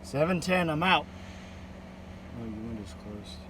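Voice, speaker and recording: A man chatting casually in a rambling vlog, speaking haltingly and often pausing mid-sentence. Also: fairly high pitch, animated. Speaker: vlogger. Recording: loud vehicle and outdoor noise.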